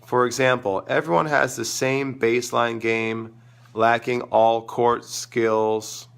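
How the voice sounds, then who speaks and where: Gravelly hoarse guy with a sore throat, rambling tennis coach answering viewer questions, middle pitch. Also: flat delivery, slow pace. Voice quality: crackly, gravelly voice. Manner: enthusiastic, rambling, thinks aloud. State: sore throat, losing his voice. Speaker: tennis coach and show host. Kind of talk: live-stream Q&A talk.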